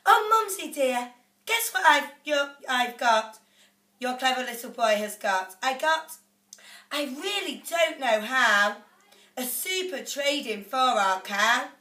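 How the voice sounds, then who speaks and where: A woman voicing an excitable, whiny young boy, talking high and breathless. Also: slow, heavily acted. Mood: excited, scared. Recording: echoey room, off-mic.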